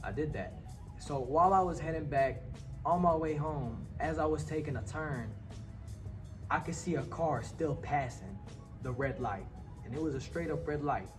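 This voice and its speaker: A man's voice: a little high, moderate pace, distant mic. A young man from Palestine, a podcast guest, telling a personal story in casual chat, earnest and reflective, often restarting his phrases. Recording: set back from the mic, with music behind.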